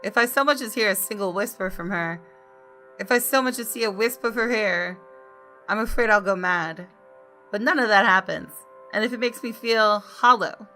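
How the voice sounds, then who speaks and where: A woman's voice: mid-range voice, bright, forceful tone. A woman gaming YouTuber reading visual novel narration aloud expressively, with casual asides.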